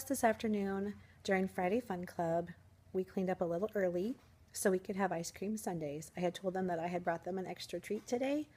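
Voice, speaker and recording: A woman teacher vlogging in a casual, upbeat, chatty way, her voice slightly hoarse. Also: fairly low pitch, a little mellow. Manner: chatty, upbeat, rambling with fillers. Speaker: first grade teacher, vlogger. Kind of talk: casual vlog chat to camera.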